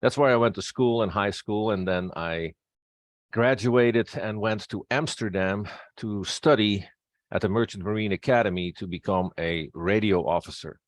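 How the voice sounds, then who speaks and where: Low-voiced middle-aged Dutch-accented man presenting. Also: normal speed, dry recording. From Netherlands. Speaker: presenter, district governor-elect and pastor. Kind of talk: personal introduction in a presentation.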